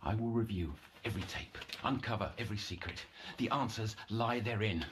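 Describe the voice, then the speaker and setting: An actor playing a brooding, obsessive psychiatric counsellor in an audio horror drama, theatrical and ornate, slipping into whispers and eerily tender. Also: male voice, fairly low pitch, a little fast, background noise, lightly acted.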